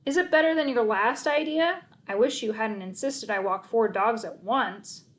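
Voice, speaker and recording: A woman voicing an eager boy in a children's storybook, excitable and given to complaining. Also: fairly high pitch, moderate pace, close to an everyday voice. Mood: grumbling. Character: eager, grumbling boy desperate to earn money.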